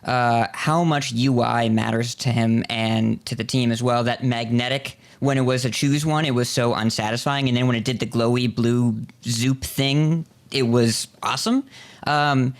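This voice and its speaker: Male podcast host, creaky mid-range voice, casual interviewer. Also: medium pitch, steady tone, moderate pace.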